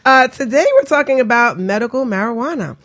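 A woman's voice: a little high, expressive, fairly quick, fairly bright tone. A woman co-hosting a talk radio show, chatting casually and joking, with frequent laughs and restarts.

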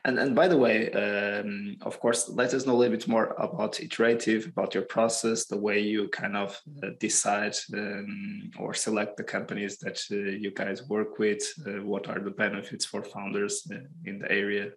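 A man's voice: on the low side, flat delivery, poor audio. A man hosting a business podcast, asking questions in a hesitant way full of fillers. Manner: hesitant, halting with many fillers. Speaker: podcast host. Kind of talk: interview hosting.

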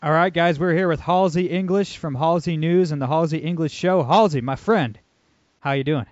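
A man's voice: fairly high pitch, moderate pace, darkish tone, no room sound, highs cut off. A man hosting a podcast in a casual, upbeat way, with lots of fillers. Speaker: podcast host.

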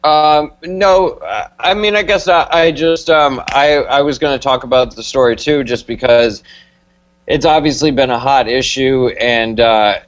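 A man's voice: mid-range voice, measured pace, highs cut off. A man co-hosting a podcast over an internet call, talking in an informal, tentative way. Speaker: podcast co-host.

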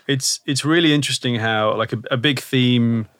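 A man's voice: medium pitch, normal speed, a bit bright, dry recording. A man hosting a podcast, chatting casually and thinking aloud with lots of fillers. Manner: rambling, thinks aloud with many "like" and "kind of". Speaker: podcast host.

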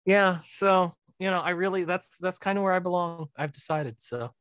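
A man's voice: high-pitched, moderate pace, fairly bright tone, smooth, dry recording. An American man in his thirties chatting casually as a guest, rambling and often cutting himself off. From Missouri, US. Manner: rambling, digressive, self-interrupting. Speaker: podcast guest, film director. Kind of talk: casual chat.

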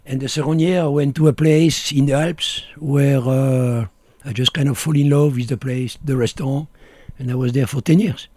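Middle-aged French man with a mellow voice. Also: medium pitch, steady tone, normal speed, few pauses, no room sound. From France. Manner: blunt, short broken phrases. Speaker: guest. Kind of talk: casual interview chat.

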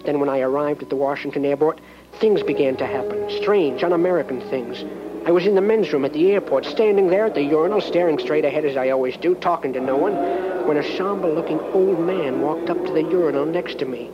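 A man's voice: fairly high pitch, a little fast, muffled recording, loud music behind the voice, natural voice. An actor narrating as an earnest, naive small-town male cop, melodramatic and jittery. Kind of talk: comic hard-boiled narration.

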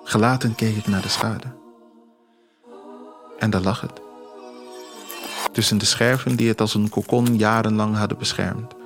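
Low-pitched man, measured literary storytelling, audio drama narration, music underneath. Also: fairly even intonation, gravelly. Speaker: author reading own story as narrator. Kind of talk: scripted first-person audio drama narration.

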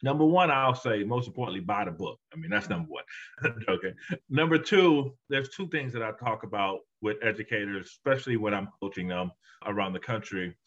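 A man's voice: lowish voice, lively intonation, fairly quick, fairly bright tone, muffled recording. A man, a guest author and education consultant, answering interview questions emphatically, his voice rising when he stresses a point. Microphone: call audio.

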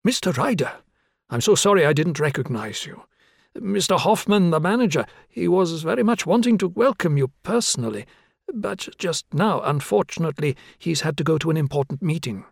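A man's voice: a little high, animated, moderate pace, creaky, husky, no echo, studio quality, pitched above the performer's own voice, heavily acted. A man voicing a fussy, eager young male hotel desk clerk who talks in a flustered, apologetic way.

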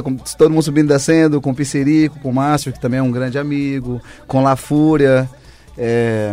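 A man's voice: mid-range voice, a little mellow. A man with a Brazilian accent chatting effusively and in a rambling way as a singer-songwriter guest in a radio interview. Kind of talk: casual radio interview chat. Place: radio studio. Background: music.

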